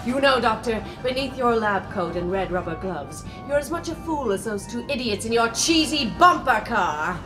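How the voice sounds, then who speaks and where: A woman's voice: fairly high pitch, lively intonation, normal speed, hardly any pauses, music in the background, natural voice. A woman playing an imperious, secretive devilish femme fatale, commanding and theatrical, in a comic noir audio drama. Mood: menacing.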